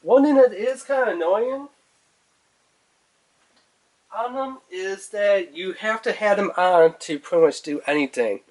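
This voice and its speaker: A man's voice: high-pitched, a little slow, pauses often, darkish tone, rough recording. A man giving a casual product review in an informal, rambling way, thinking aloud as he goes.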